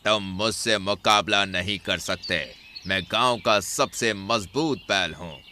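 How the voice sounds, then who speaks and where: Cartoon bull, male actor, conceited bruiser; bright, hard-edged delivery, unhurried pace, boastful challenges. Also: fairly low pitch, slight background noise, exaggerated voice. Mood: cocky. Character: arrogant, boastful, strong village bull.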